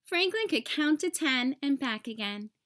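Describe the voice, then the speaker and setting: Female storybook narrator, high register, expressive read-aloud delivery for kids. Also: unhurried.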